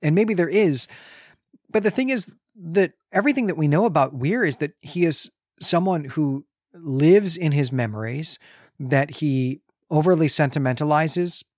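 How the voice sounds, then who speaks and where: A man co-hosting a podcast, reasoning through a literary discussion in a deliberate, reflective, conversational way. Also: on the high side, dry recording, clean studio sound. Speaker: podcast co-host.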